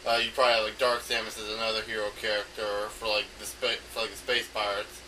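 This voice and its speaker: A man giving an unscripted, rambling explanation as an amateur game designer, halting often as he thinks aloud. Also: on the low side, little pitch movement, pushed and bright. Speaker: amateur game designer recording an audio log. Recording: noisy home recording, distant from mic.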